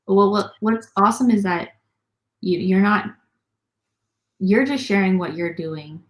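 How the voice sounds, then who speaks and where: A woman's voice: mid-range voice, a little slow. A young woman talking casually as a guest in an online interview, upbeat and chatty with lots of fillers. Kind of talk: casual video call interview.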